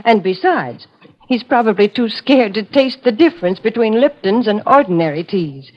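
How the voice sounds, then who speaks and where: A woman playing a prim, disapproving sidekick who delivers tart sponsor pitches on 1940s radio. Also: expressive, moderate pace, lightly acted. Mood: prim, salesy. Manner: tart, then brightly salesy. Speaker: commercial spokeswoman. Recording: muffled vintage radio recording.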